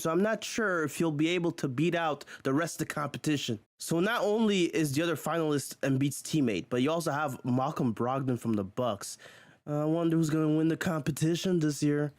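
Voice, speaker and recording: Man, casual sports commentary, chatty, chuckling, slightly high voice, dry close sound. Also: no echo. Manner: chatty, chuckles often, exclaims. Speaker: video host.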